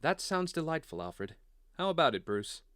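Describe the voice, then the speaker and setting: An actor playing a gentle, wise, steady father in an audio drama, speaking in a measured, reassuring way. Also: fairly high pitch, no echo, clean studio sound. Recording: music under the voice.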